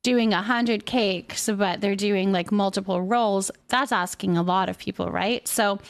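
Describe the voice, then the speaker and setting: A woman's voice: medium pitch, steady tone, a little slow, vocal fry. A woman chatting casually as a live streamer, reading viewer comments aloud and musing on them. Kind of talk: casual livestream chat.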